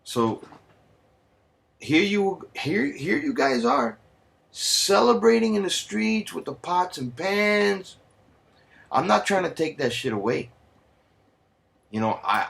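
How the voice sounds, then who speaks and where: Brief: man, mid-pitched, heated sarcastic vlog rant, heavy swearing and Spanish slang. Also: vocal fry, fairly bright tone. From United States. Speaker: vlogger. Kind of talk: profane angry rant.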